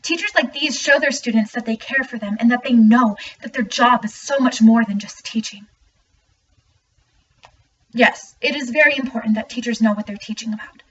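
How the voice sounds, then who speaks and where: A young woman acts out an earnest, emphatic student in a dramatic monologue, in a fairly high voice. The recording is a little muffled and distant. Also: moderate pace, fairly bright tone, natural voice. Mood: earnest, hopeful. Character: earnest student speaking out about teachers. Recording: muffled, distant mic, faint noise.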